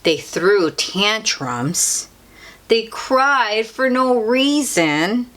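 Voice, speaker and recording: A woman reading a children's picture book aloud as a storyteller, expressively and theatrically, with drawn-out emphasis. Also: medium pitch, very animated, fairly bright tone.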